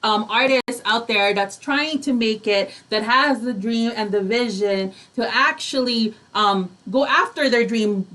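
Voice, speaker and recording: A woman's voice: a little high, measured pace, hardly any pauses, a bit bright, rough recording. A woman hosting a casual radio interview, agreeable and encouraging as she talks. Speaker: radio host.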